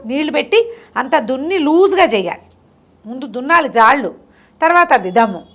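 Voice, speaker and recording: A woman's voice: high-pitched, sing-song, brisk, a bit bright, full character voice. A woman storyteller voicing a rich, stingy male landowner who frets and gives orders in a folk tale. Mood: surprised, bossy. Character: rich miserly male landowner, fretful and idle.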